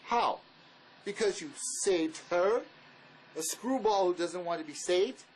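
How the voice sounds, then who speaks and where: A man's voice: high-pitched, unhurried, darkish tone, a little hiss or hum, low-quality recording. A man acting a bitter, blunt fugitive drifter in a dramatic script reading, sarcastic and cutting.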